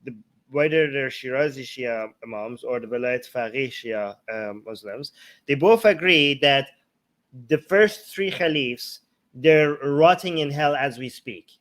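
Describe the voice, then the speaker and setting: A man's voice: medium pitch, a little slow, fairly bright tone. A man in a casual explanatory talk, speaking informally and often checking in with "okay" or "right". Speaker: talk show host.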